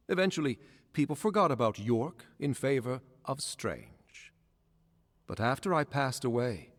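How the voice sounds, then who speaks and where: A wry, theatrical ghost, reverberant. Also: male voice, medium pitch. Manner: theatrical, courteous, musing. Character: wry ghost of a male former court servant. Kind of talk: scripted video game character dialogue. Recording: long hall-like reverb.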